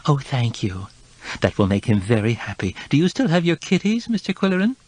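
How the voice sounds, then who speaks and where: A man's voice: medium pitch, sing-song, a little fast, darkish tone, dry recording, pitched above the performer's own voice. A man voicing a solicitous woman restaurant owner who speaks eagerly and politely.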